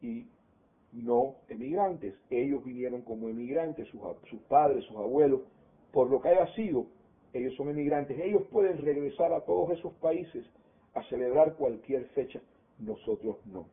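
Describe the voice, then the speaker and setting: A man's voice: mid-range voice, measured pace. Older man, Cuban Spanish accent, storytelling, narrow phone-line sound. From Cuba. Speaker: interviewee. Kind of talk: oral history recollection. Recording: tinny phone-like audio.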